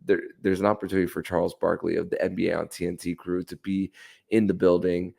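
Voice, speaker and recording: A man's voice: low-pitched, little pitch movement, fast talker, gravelly, warm tone. A man hosting a sports podcast solo, giving enthusiastic commentary on hockey.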